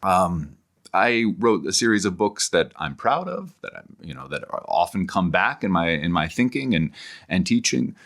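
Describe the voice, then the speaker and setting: A middle-aged man giving thoughtful, halting explanations as a professor and author being interviewed, often circling back to rephrase. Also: deep voice, sing-song, vocal fry, fairly bright tone. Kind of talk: thoughtful long-form podcast interview.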